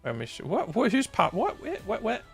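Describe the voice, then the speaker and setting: Scottish male streamer, thirties, very gravelly voice, rambling with lots of ums and restarts, faint music underneath. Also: sits high, huge pitch swings, fairly quick. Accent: Scottish, softened. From Scotland. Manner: rambling, self-deprecating, many ums and restarts. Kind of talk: casual live-stream chat while gaming.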